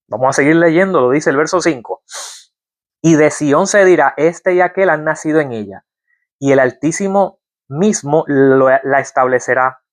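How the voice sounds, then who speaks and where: A man giving a Bible-teaching sermon, reading verses aloud and asking his listeners rhetorical questions. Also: on the high side. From Puerto Rico. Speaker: preacher.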